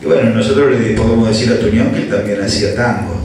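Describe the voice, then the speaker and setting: A man's voice: on the low side, fast, a little mellow, far from the mic, slight background noise. A man speaking on a panel in a university hall, musing and digressive, recorded from afar in a roomy hall. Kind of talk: panel discussion. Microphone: handheld mic, far camera.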